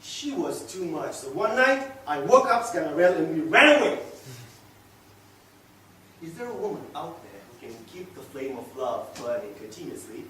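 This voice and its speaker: A man acting a passionate, boastful, unrepentant young womanizer in a theatrical stage monologue, swinging between hushed murmurs and loud declarations. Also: on the high side, sing-song, moderate pace. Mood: sensual, reminiscing. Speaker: actor. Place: echoey indoor room, recorded from a distance. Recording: echoey room, distant mic, faint noise.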